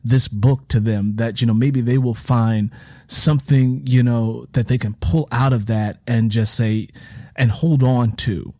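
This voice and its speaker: Male interviewer, mid-range pitch, reflective and emphatic, repeating phrases for stress; conversational delivery, dry close sound. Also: fairly even intonation, a little slow, a little mellow, no room sound. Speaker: interviewer and writer. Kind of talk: reflective conversational interview talk about writing.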